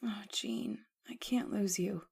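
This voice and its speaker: A woman's voice: mid-range voice, slow pace, creaky, a little mellow, slightly rough, heavily acted. A woman playing an anxious, devoted young woman in an old-time radio horror drama, earnest and melodramatic. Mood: uneasy, pleading.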